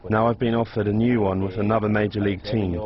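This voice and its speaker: A man's voice: fairly low pitch, flat delivery, fast, lo-fi sound, a crowd in the background. A young man giving a plain, matter-of-fact testimony as a voice-over. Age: young adult. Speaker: interviewee.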